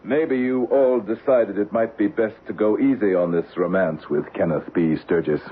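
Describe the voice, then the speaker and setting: An American actor playing a proud, combative middle-aged financier in an old-time radio drama, with clipped, argumentative delivery. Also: male voice, lowish voice, normal speed, slightly rough. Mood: bitter, cynical. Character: proud, embattled male Wall Street financier, combative and cynical. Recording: muffled, noisy old radio broadcast.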